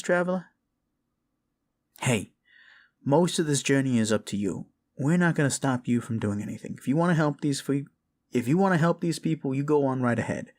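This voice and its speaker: A man's voice: on the high side, a little mellow, lightly acted. Guy playing a little sneaky raccoon scout in an audio drama, wisecracking and wry, voice goes up and down a lot. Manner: casual, wry, colloquial. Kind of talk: fan audio drama acting.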